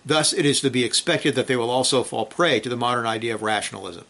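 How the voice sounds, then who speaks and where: Bible teacher, male, bright and forceful tone, reads with emphasis, loose asides with restarts. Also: medium pitch, fast talker, gravelly. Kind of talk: reading a theology book aloud, then commenting and praying.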